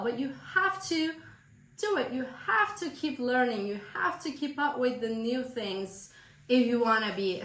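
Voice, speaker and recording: A woman from Turkey with a Turkish accent, a business coach giving earnest, emphatic motivational advice straight to the viewer, slightly hoarse from a cold. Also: sits high, a bit bright, roomy sound. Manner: earnest, emphatic, direct address.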